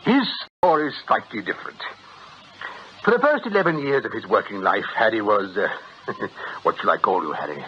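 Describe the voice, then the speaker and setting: An actor playing a jovial, wily British swindlers' ringleader in a radio drama, with an ironic, chuckling, avuncular way of talking. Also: male voice, lowish voice, very animated, brisk. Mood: wry, persuasive. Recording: dull radio recording, faint noise.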